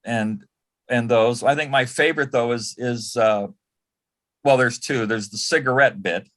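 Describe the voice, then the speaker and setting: Older male, panel guest, medium pitch, casual storytelling, halting restarts, reminiscing. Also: fairly bright tone. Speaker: panel guest, former celebrity assistant.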